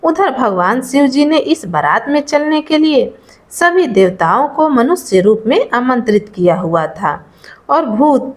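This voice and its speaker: A woman narrating a scripted folk tale as a storyteller. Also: sits high.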